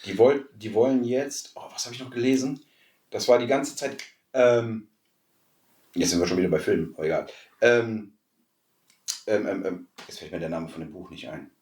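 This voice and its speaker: A man's voice: lowish voice, normal speed, a little mellow. Echoey, distant man in casual chat. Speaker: podcast co-host. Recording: echoey room, distant from mic.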